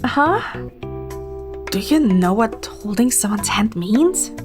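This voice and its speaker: High-voiced, tipsy, stubborn young woman played by a woman. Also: expressive, slow pace, music in the background. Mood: dazed, tipsy. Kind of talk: romantic audio drama acting with first-person narration.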